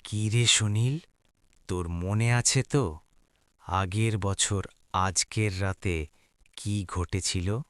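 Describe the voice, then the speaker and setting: A man voicing an ominous villager in an audio horror story, hushed in manner. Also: lowish voice, slow pace, pauses often, no room sound. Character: ominous villager recalling a dreadful night.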